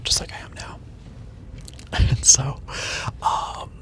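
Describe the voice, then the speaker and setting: A man who teaches, telling a casual rambling story in a soft unvoiced whisper from a parked car, with the mic on the dashboard. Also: slow. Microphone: microphone on the dashboard.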